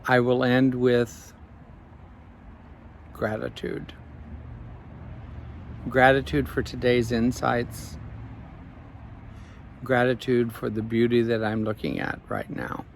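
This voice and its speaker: A man, a life coach, speaking slowly and reflectively in an evening vlog recorded outdoors on a phone. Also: medium pitch, creaky, slight background noise. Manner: slow, measured, reflective.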